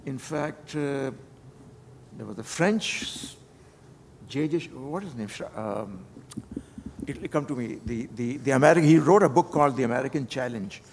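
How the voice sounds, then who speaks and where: An older man from Pakistan, reflecting on his life at a conference panel, halting and full of repeated words and restarts. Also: medium pitch, gravelly. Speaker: panel speaker. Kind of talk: unscripted personal reflection at a panel. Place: conference hall. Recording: long hall reverb with faint noise.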